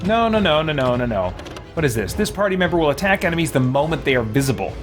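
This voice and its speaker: Man, slightly high voice, game streamer, casual chat, reading prompts aloud, muttering to himself, clear music behind. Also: sing-song, quick pace. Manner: muttering to himself, mild exclamations.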